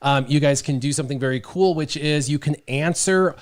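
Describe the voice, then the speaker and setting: A man's voice: on the high side, fairly quick. A man hosting a casual livestream, upbeat and talking straight to the viewers. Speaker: livestream host.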